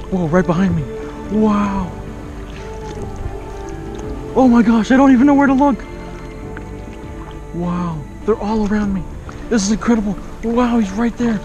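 A young man vlogging from a kayak on open water, commenting in breathless, awed exclamations through an action camera. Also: highs cut off. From raised in Oklahoma, born in Kansas. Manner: breathless awed exclamations, often rising high. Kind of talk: excited outdoor vlog commentary. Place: kayak on open sea, outdoors. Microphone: action camera mounted on kayak.

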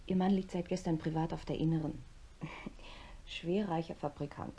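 An actress playing a polite, businesslike secretary with a sly familiarity in a radio drama. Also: female voice, low voice, steady tone, moderate pace, mellow, husky. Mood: polite, teasing. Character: efficient, deferential female secretary with sly familiarity. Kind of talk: German radio drama acting.

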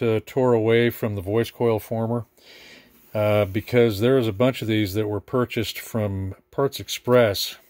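A man giving a casual, hands-on repair demonstration with halting, unhurried explanations. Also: fairly low pitch, no room sound. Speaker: speaker repair technician. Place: small repair workshop, indoors.

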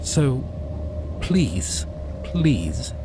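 A man voicing a shy, caring male dragon in an ASMR roleplay, talking softly and reassuringly. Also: medium pitch, big pitch swings, noisy background. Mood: gentle. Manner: soft, reassuring, slow and hesitant. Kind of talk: ASMR roleplay audio drama.

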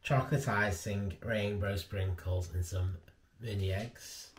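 A man's voice: lowish voice, steady tone, takes it slowly, a little hiss or hum. A man, casually describing food to camera. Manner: easygoing, descriptive. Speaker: vlog co-host. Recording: roomy echo, faint ambience.

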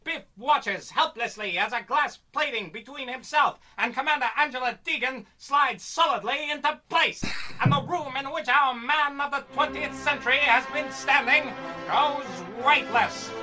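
A woman's voice: high-pitched, moderate pace, pushed and bright, faint music behind. A woman playing a melodramatic announcer, narrating an old-time radio cliffhanger serial. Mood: grandly suspenseful.

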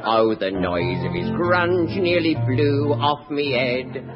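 A man's voice: medium pitch, animated, slow, over loud music. An actor playing a bawdy, jolly rustic male folk singer who chats to the audience and then sings, on a dull 1960s radio recording. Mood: singing. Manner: chatty folk-club patter, then singing. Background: live studio audience laughter.

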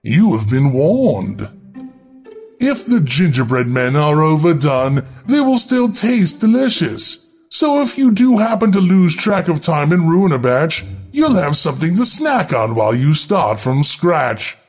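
A man's voice: a little high, sing-song, a little slow, a little mellow, breathy edge, faint music behind. A man reading scripted, darkly humorous narration in a wry, theatrical instructional manner.

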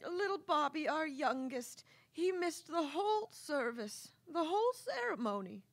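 A woman's voice: high-pitched, very animated, measured pace, full character voice. A woman playing an emotional, anxious, earnest nun in a comedy radio play. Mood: upset, devastated.

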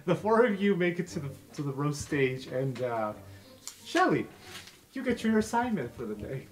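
A man's voice: a little high, very animated, moderate pace. A man working as game master, narrating an improvised tabletop adventure in a casual, conversational style.

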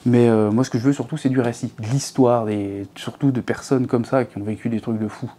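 A man in his thirties chats casually into a clip-on mic from his living room, rambling along with fillers and false starts. His voice is mellow and relaxed. His pitch sits in an ordinary middle range. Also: brisk. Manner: chatty, rambling, many fillers and restarts. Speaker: fishing channel host. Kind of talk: casual chat to viewers. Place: living room at home, seated in an armchair.

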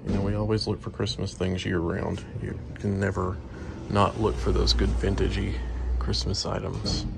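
Casting note: man, low gravelly voice, relaxed chatty vlog narrator on a phone in a busy shop. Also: normal speed. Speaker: antique booth owner touring a mall. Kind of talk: casual walk-through vlog narration. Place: large indoor antique mall. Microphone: handheld phone camera. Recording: shop noise behind voice. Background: shop ambience.

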